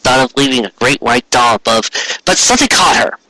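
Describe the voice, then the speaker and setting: A man's voice: medium pitch, expressive, a little fast, bright, forceful tone, no echo, low-quality recording. A man narrating a written story expressively, with earnest, dramatic phrasing. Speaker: story reader.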